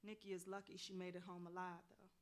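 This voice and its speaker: A woman's voice: fairly low pitch, flat delivery, fast talker, lightly acted. A woman playing a commanding, devout folk healer with colloquial talk in a staged play reading. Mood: calm, intimate.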